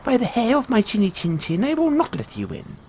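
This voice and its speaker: Little storybook pig: very high squeal, scared yet defiant, some background noise. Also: male voice, huge pitch swings, fairly quick, darkish tone, exaggerated voice. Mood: frightened, defiant. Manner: squealing falsetto refusals. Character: small frightened, defiant male storybook piglet.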